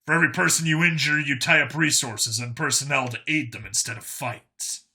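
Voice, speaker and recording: Man playing a blunt cop, husky and sharp. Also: mid-range voice, steady tone, a little fast, heavily acted. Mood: matter-of-fact. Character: blunt, sardonic human male cop, self-assured.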